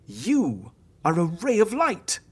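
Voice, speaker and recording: An eccentric, theatrical woman healer, with a low, husky voice that swings wildly up and down. She coaxes playfully and persuasively, in audio drama style. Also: huge pitch swings, takes it slowly, darkish tone, exaggerated voice. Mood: gleeful. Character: eccentric, theatrical female healer, coaxing and irrepressibly cheerful.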